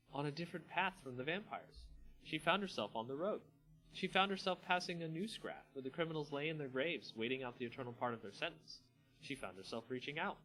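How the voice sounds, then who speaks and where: A man's voice: fairly high pitch, fairly bright tone, poor audio. Man reading and hosting over music. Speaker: channel host and story reader. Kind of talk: livestream dramatic story reading with casual chat. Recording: music playing behind the voice. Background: background music.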